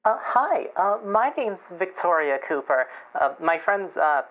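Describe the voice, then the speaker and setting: A woman playing a lonely, gentle chicken farmer calling in to a radio show, hesitant and halting with nervous chuckles, over a muffled call. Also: fairly low pitch, very animated. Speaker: caller.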